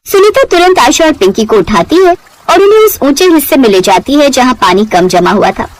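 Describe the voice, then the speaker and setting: A woman's voice: sits high, sing-song, a little fast, a little hiss or hum, rough recording. A woman narrating a scripted moral story in a dramatic storytelling style.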